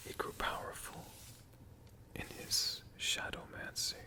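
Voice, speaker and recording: A man telling a story in a hushed ASMR whisper, in short halting phrases with long pauses between them. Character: friendly storytelling dragon.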